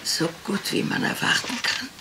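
An actress playing an outwardly frail, cunning murderess in a radio crime drama, theatrical, genteel and brittle. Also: female voice, moderate pace, background noise, lightly acted. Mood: frail, polite.

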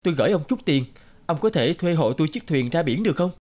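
Man acting earnest young prince, speaking briskly. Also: on the high side, expressive, background noise, natural voice. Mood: weary, searching. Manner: earnest, polite. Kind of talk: cartoon fairy-tale dubbing.

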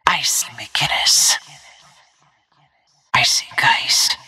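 A woman playing a stealthy, cool-headed assassin in a video game, giving terse callouts in a hushed, husky, breathy voice. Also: very slow, no room sound, whispered, exaggerated voice. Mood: hushed, focused. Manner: terse, clipped phrases in a low-key, controlled delivery. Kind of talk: short in-game callout lines.

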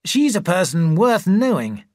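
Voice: mid-range voice, very animated, unhurried, darkish tone, no echo, studio quality, pitched above the performer's own voice. A narrator voicing a scheming society doctor in an audiobook, coaxing and conspiratorial. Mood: persuasive. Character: scheming male society doctor, smooth and persuasive. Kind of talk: audiobook character voice.

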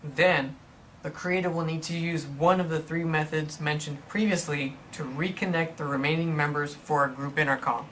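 A man reading scripted instructional narration in a measured, explanatory manner for a product tutorial. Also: fairly high pitch, steady tone, pushed and bright, a little hiss or hum.

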